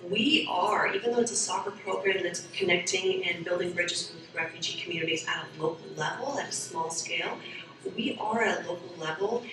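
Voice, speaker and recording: A woman's voice: on the low side, fairly quick. A woman who founded a youth soccer program, speaking earnestly in a documentary interview. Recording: echoey, from far off, with music.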